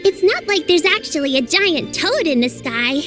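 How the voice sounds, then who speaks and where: An actress voicing a cheerful, earnest young girl apprentice in a game, explaining things patiently and brightly. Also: extremely high pitch, lively intonation, moderate pace, faint music behind. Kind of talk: game voice acting.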